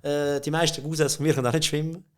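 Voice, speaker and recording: A man's voice: a little high, little pitch movement, racing. A middle-aged man with a Swiss German accent, telling stories in a casual podcast chat, with frequent laughs and filler words. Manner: chatty, laughs often, many fillers and restarts. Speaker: podcast guest, company CEO. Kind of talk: casual conversational storytelling.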